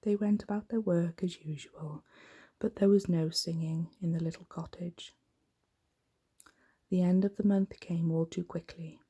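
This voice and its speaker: A woman reads a bedtime story in soft-spoken ASMR style. Her voice is low, mellow and breathy, often slipping toward a whisper. The delivery is gentle and unhurried. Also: fairly even intonation.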